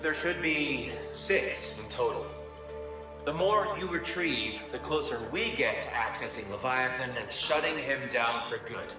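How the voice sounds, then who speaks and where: A man's voice: medium pitch, animated, measured pace, natural voice. A man playing a slick, wheedling scientist in a video game cutscene, glib and over-friendly. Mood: businesslike. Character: slick, wheedling male scientist with money on his mind. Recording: echoing, distant, music behind.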